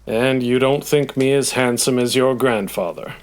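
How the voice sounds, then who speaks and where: A man plays an old gentleman of a period audio drama, a grandfather with a gruff edge and a kind heart. His speech is formal and measured, at an ordinary male pitch. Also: lightly acted. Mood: gruff, kindly. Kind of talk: audio play acting.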